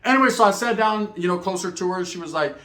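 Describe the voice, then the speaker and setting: American guy telling funny stories, high-ish voice, talks quick, real slangy, kinda echoey. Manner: animated raconteur, acts out both sides of conversations. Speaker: comedic storyteller, host. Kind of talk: casual comedic storytelling, slangy.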